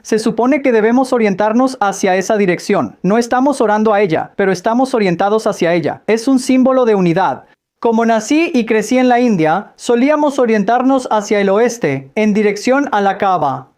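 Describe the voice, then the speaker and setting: A woman's voice: fairly high pitch, a little mellow. A woman giving a question-and-answer lecture, explaining patiently and quizzing her listeners with light jokes. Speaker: speaker answering audience questions on Islam. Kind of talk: Q&A lecture in Spanish voice-over.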